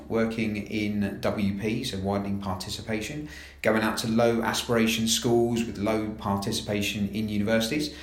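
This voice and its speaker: A man's voice: on the low side, echoey room. A man in his thirties from London with a London accent, introducing himself as a new recruitment manager in a casual, filler-heavy way. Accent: London English. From London, England. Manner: chatty, frequent "uh" fillers and repeated "very, very". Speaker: assistant director of recruitment. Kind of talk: staff introduction interview.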